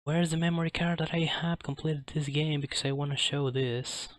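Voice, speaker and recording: A man who speaks English as a second language, giving casual live speedrun commentary, halting as he searches for words. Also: fairly high pitch, fairly even intonation, noisy background. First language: not English. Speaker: speedrunner presenting a game at a streamed marathon.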